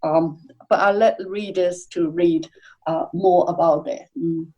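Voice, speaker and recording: A woman speaking as a guest on a podcast interview, soft-spoken and hesitant, with many fillers. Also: on the low side, fairly even intonation, a little slow, dull audio. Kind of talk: casual interview chat.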